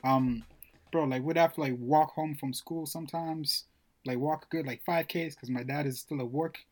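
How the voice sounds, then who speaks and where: A man's voice: a little high, steady tone, normal speed, darkish tone. A young man from Zimbabwe sharing his background in a casual podcast chat, using easy slang. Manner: casual slang, addresses friends as bro.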